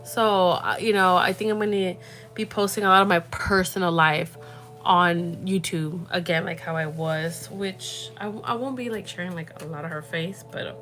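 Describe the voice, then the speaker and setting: A woman's voice: lowish voice, steady tone, moderate pace, fairly bright tone, soft background music. A young woman hosting a casual livestream, talking in a rambling way with lots of fillers and the odd Spanish word. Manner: rambling, full of fillers, slips into Spanish words. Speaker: livestream host.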